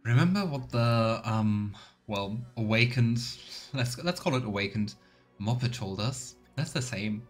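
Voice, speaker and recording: A man's voice: fairly low pitch, lively intonation, measured pace, soft background music. A man streaming a video game with casual, musing commentary, thinking aloud as he plays. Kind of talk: casual let's play commentary.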